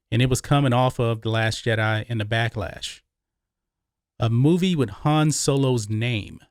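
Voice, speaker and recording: A man's voice: mid-range voice, dry recording, clean studio sound. An American man hosting an opinionated film commentary, speaking emphatically and repeating phrases for stress. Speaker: YouTube movie commentary host.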